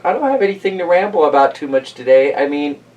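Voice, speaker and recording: A man reading a storybook aloud in an expressive, informal and chatty way. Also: fairly high pitch, quick pace, far from the mic, dull audio. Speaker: storybook reader and host. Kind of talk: storybook narration with casual asides.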